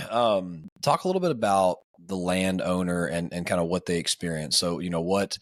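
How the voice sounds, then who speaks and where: Hoarse upbeat male podcast host. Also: low voice, normal speed, dry recording, studio quality. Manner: upbeat, chummy, addresses listeners as family. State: hoarse, voice raspier and deeper than usual. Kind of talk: casual podcast interviewing.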